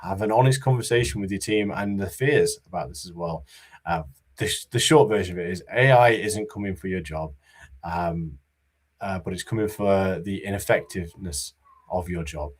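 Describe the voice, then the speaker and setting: A man giving a conference talk about business readiness, in a monotone voice with many fillers and restarts. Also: deep voice, moderate pace. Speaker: conference speaker.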